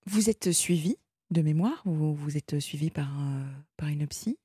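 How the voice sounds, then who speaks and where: A woman hosts a late-night call-in show from a dry studio microphone, gently leading her caller. Her voice is a little low, mellow and husky. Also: a little slow, dry recording. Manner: gentle, hesitant, fills in for the caller. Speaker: radio host. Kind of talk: late-night phone-in radio.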